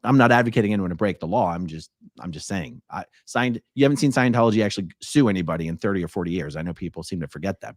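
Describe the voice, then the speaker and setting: A man's voice: low-pitched, no room sound, clean studio sound. A man hosting a live stream, reading viewer messages and commenting in a casual, blunt, conversational way.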